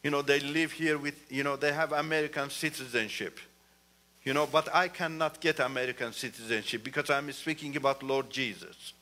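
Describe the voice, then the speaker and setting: Bright-voiced man. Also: mid-range voice, fairly even intonation, moderate pace. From Iran. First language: Turkish. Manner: earnest, repeats "you know", rises emphatically. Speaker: guest speaker. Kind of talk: testimony talk in church.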